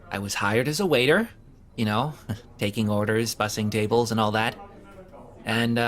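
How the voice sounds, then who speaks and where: A man's voice: medium pitch, fairly bright tone. A man playing an awkward, hapless young man in an audio drama, talking in a hesitant, sheepish way full of fillers. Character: awkward, hapless young man who keeps getting fired. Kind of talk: conversational audio drama acting.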